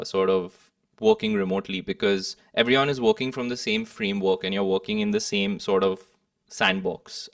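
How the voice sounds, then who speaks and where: Young adult male, gravelly low-ish voice, animated pitch swings, reflective interview guest. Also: clean studio sound. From Kolkata, India. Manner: hesitant, thoughtful, hedging phrasing. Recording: echoey room, distant microphone.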